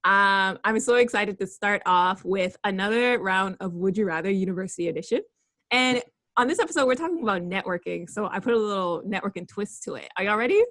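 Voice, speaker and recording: A young woman hosting a casual interview, upbeat and quick to laugh. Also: mid-range voice, lively intonation, fairly bright tone, no room sound. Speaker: podcast host.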